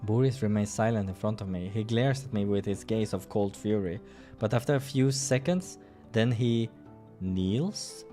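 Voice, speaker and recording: A man's voice: lowish voice, moderate pace, warm tone. A man hosting a playthrough, reading a visual novel aloud with casual asides and the odd stumble, over music.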